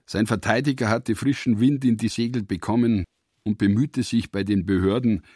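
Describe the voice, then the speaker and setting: A man's voice: fairly low pitch, darkish tone, dry recording, studio quality. A man reading scripted literary prose as the narrator of a radio production.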